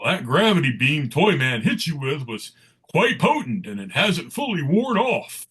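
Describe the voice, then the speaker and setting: Guy doing a jolly old christmas gift-giver, husky voice, grand genial talk, very animated. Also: on the high side, a little slow, exaggerated voice. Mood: jovial. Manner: stately, genial.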